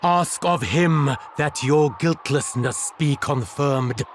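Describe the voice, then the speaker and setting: An actor playing a stern, sanctimonious bishop in a video game, speaking in solemn, archaic oratory. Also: male voice, a little high, takes it slowly, slight background noise. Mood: grave, accusing. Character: stern, sanctimonious bishop presiding over a witch trial. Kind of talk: dramatic video game acting.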